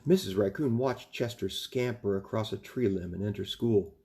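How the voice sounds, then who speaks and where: A man's voice: lowish voice, normal speed, darkish tone. A man in his fifties reading a children's bedtime story expressively, like a grandfather, in a room at home. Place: small home room, indoors.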